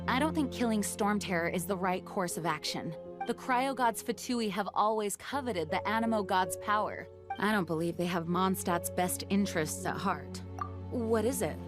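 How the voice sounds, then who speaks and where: A woman's voice: medium pitch, moderate pace, over music, natural voice. A woman playing an earnest, dutiful young knight commander in a video game, formal, composed and firm. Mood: serious, explaining. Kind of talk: anime-style video game acting.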